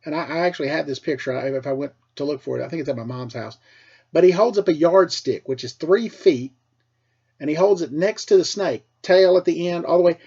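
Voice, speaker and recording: A middle-aged American man, a teacher with a husky voice, tells casual personal stories for children in an animated way, acting things out as he goes. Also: fairly high pitch, brisk, lo-fi sound. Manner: animated, acts out dialogue and sound effects. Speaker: school teacher telling stories to pupils.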